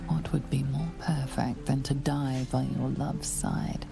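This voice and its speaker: Gravelly, ominous dark voice. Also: female voice, rumbling low, fairly even intonation, unhurried, over music, exaggerated voice. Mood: sinister musing.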